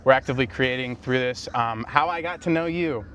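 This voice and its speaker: A man's voice: medium pitch, fairly quick, fairly bright tone, a little hiss or hum. Earnest male podcast host. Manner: earnest, complimentary. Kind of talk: casual interview.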